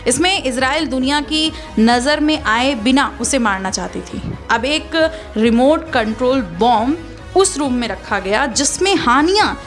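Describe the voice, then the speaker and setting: A woman presenting a news explainer as scripted narration. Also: high voice. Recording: music behind the voice.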